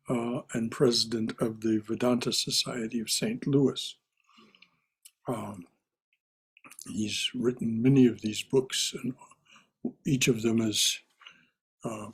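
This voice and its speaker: A man leading a spiritual class, speaking in a hesitant, halting way with many fillers. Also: medium pitch, slightly rough. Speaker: class leader. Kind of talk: introducing a class reading.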